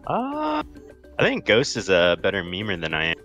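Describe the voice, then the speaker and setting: A man's voice: fairly low pitch, huge pitch swings, moderate pace, sharp tone. A man talking casually as a guest on a show, on a dull lo-fi recording. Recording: dull, lo-fi sound with music behind.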